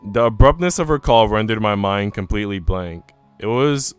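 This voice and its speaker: A man with a fairly low voice reads a visual novel aloud on a live stream. He is informal and chatty, slipping into slangy remarks to his viewers. Music plays faintly behind him. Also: lively intonation, normal speed, clean tone, no room sound. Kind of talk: live stream read-aloud with casual asides to chat.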